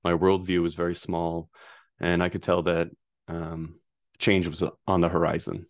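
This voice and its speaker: A man in his thirties from Rhode Island, a guest answering interview questions in a relaxed, rambling way with frequent fillers. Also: low voice, measured pace, dry recording, clean studio sound. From Rhode Island, USA. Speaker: guest, privacy attorney.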